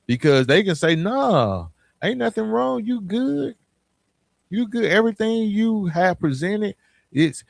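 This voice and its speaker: A man's voice: sits high, vocal fry, no echo. A middle-aged American man giving a motivational solo podcast talk, speaking colloquially and directly to listeners with emphatic repetition and rhetorical questions. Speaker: podcast host.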